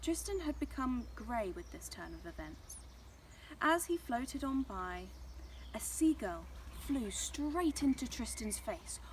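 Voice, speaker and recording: A woman's voice: fairly high pitch, big pitch swings, takes it slowly, a little hiss or hum. A woman narrating a scripted children's story as a storyteller.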